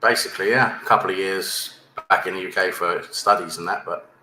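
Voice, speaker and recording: A man's voice: low-pitched, fairly even intonation, brisk, pushed and bright, a little hiss or hum. English guy chatting on a panel, tag questions at the end of everything, echoey room. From England. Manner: ends points with tag questions. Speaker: panel guest. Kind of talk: casual chat. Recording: echoey room with faint ambience.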